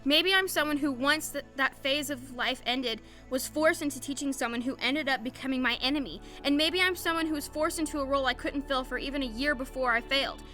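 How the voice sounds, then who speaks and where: A woman playing a self-doubting, battle-worn young woman in an audio drama, with a halting, confessional manner. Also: sits high, bright, forceful tone, faint music behind. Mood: wounded, confessional.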